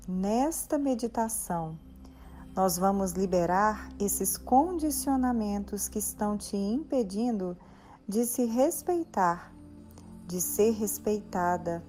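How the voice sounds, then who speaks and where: A Brazilian woman leading a guided meditation, soothing and gently instructing in short phrases with pauses between them. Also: mid-range voice. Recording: soft background music. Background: soft music.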